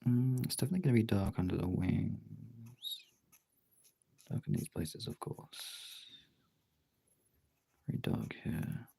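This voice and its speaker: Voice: sits low, measured pace, pauses often, warm tone. A streamer chatting casually while working on art, thinking aloud in short, informal, sweary remarks. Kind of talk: casual chat while working, muttered self-talk. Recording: clearly noisy, with clicks.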